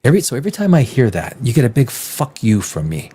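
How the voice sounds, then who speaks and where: Man, fifties, North American accent, livestream host, unscripted chat, emphatic, profane, repetitive phrasing, mid-pitched, highly varied intonation. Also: sing-song, quick pace, mellow, breathy edge. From Canada, born in Czech Republic. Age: 50s.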